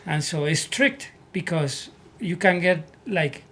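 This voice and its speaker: Puerto Rican-accented man, earnest, rather high. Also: animated, slow, fairly bright tone, a little hiss or hum. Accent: Puerto Rican Spanish. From Puerto Rico. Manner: earnest, repeats words as he searches for English. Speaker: guest, army veteran. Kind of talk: casual interview storytelling.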